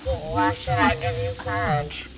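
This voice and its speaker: A woman playing an imperious wizard in the form of a fireball in an audio play, booming slowly and commandingly. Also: close to an everyday voice, poor audio. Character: great and terrible wizard, imperious ball of fire. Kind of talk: stage-style audio play acting.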